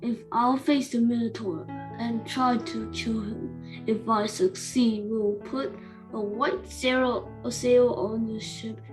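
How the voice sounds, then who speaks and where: A brave young prince of Athens, played by a woman, earnest and indignant. The voice is fairly high and the reading slow, as in amateur radio theater, with clear music behind it. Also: steady tone, poor audio. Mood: resolute.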